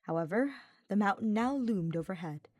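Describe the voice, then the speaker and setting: A woman's voice: mid-range voice, animated, moderate pace, darkish tone, dry recording, clean studio sound. A woman reading scripted fiction aloud as an audiobook-style narrator. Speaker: podfic narrator.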